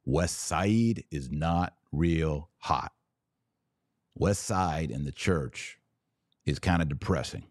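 A man with a very low voice speaks close and dry, like a podcast host thinking aloud. He gives religious commentary in a casual, colloquial style, leaning on short, punchy phrases that he repeats. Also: slow pace, darkish tone, no room sound.

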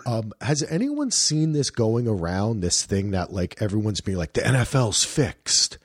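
A man's voice: on the low side, big pitch swings, unhurried, no room sound, studio quality. A man in his late forties hosting a casual sports podcast chat, rambling with frequent asides. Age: 40s. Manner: chatty, rambling, many "you know what I'm saying" asides.

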